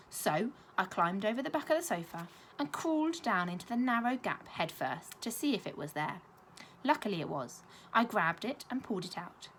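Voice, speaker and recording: A primary school teacher reads a children's novel aloud, her voice full of animated, rolling intonation. She tells the story in a clear, engaging way, with faint room ambience behind her. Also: on the high side, slight background noise. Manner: expressive storytelling with lively intonation.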